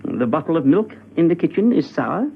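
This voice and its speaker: An actor playing a fussy, self-assured forensic agent in a radio spy drama, pedantic and musing. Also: male voice, on the high side, sing-song, normal speed, creaky, narrow, tinny audio. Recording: band-limited, noisy old radio recording.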